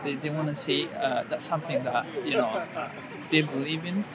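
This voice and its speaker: A man's voice: on the high side, poor audio. Hesitant Syrian man on a crowded street. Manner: hesitant, halting English with many fillers and stammers. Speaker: passer-by being questioned. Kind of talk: street conversation. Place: busy city street outdoors. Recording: loud crowd noise outdoors. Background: loud crowd.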